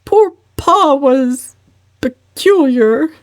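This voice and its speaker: A woman's voice: high-pitched, expressive, very slow, dry recording, studio quality, voice pushed up, full character voice. A woman voicing a tearful, put-upon older mother in a whiny, sobbing voice for an audiobook.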